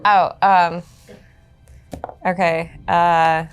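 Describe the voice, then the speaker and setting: A woman's voice: fairly low pitch, level tone, drawn-out words, clear, resonant tone, dull audio. A woman playing a tabletop role-playing game, talking casually as she works out her moves. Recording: background music under the voice.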